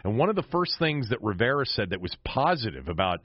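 A man's voice: medium pitch, big pitch swings, fast talker, dry recording. A man hosting conversational sports talk, restarting and repeating phrases as he works through a thought, with slightly dull audio. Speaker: sports show host.

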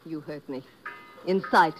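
A menacing femme fatale. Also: female voice, deep voice, unhurried, over music, low-quality recording. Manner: stilted formal English, threatening. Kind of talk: 1950s radio drama acting. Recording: old radio recording with noise.

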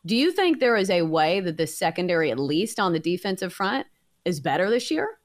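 A woman's voice: lowish voice, very animated, brisk. An American woman co-hosting a sports show, putting a question to a guest. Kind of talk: interview questioning.

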